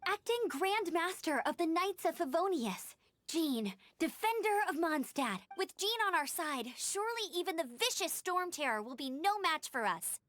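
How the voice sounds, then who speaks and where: A woman's voice: very high voice, animated, normal speed, fairly bright tone. An actress playing a perky, earnest young female knight guide in a video game. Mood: earnest confidence. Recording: game music under the voice.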